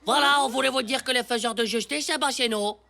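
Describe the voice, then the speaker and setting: A woman voicing a cheeky, boastful ten-year-old boy in a cartoon, slangy and quick to protest. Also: fairly high pitch, vocal fry, a crowd in the background. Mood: insistent. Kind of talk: animated cartoon dub.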